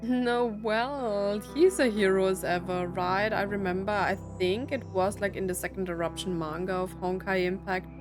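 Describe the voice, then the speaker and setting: A woman's voice: mid-range voice, fairly even intonation, moderate pace, few pauses, over music. A woman streaming a story game with chatty, informal commentary, thinking aloud in trailing musings.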